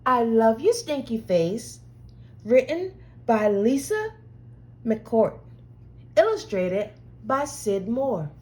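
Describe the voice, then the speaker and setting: A woman reading a picture book aloud to young children in a playful, expressive way with drawn-out phrasing. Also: fairly high pitch. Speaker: children's storyteller.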